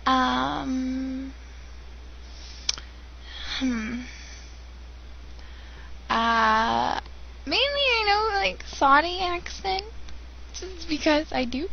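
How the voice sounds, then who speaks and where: Young american girl on her webcam, high voice with some vocal fry, slow and lazy, muffled lo-fi sound. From United States. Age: young adult. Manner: giggly, bored, rambling with many ums. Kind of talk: casual webcam vlog. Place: dim room indoors. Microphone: webcam built-in mic. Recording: dull lo-fi webcam audio with faint hiss.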